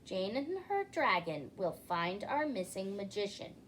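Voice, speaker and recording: A demanding storybook king played by a woman, booming out orders. The delivery is slow and very animated. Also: a little hiss or hum. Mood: commanding. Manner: commanding, booming.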